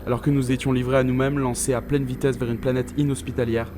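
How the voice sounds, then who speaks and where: A man playing a haunted space-mission scientist in an audio drama, narrating gravely and deliberately with weighted pauses. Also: mid-range voice, level tone, background noise, natural voice. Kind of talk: scripted dramatic first-person narration.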